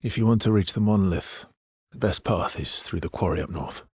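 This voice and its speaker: A guarded, world-weary immortal expeditioner in a video game drama. His voice is very husky and deep, moving expressively between soft hesitance and protective earnestness, recorded dry and close. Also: brisk, no echo. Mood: calm, guarded. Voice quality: husky, breathy, gravelly low voice. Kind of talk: dramatic video game voice acting, naturalistic and understated.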